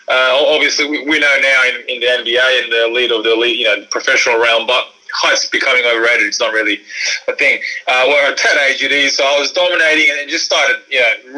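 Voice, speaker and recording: A man's voice: medium pitch, sharp tone, rough recording. A man telling his story as a podcast guest in a candid, conversational way, often repeating words for emphasis. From Australia, born in Croatia. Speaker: podcast guest, former professional basketball player.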